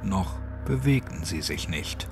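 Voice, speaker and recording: A man's voice: deep voice, expressive, takes it slowly. A man narrating a scripted horror story as an audiobook. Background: music.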